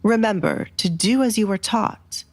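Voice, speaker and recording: A woman's voice: medium pitch, moderate pace, dry recording, lightly acted. A woman voicing a stern, scheming middle-aged noblewoman, commanding and controlled, in an audio drama. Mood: stern, instructing.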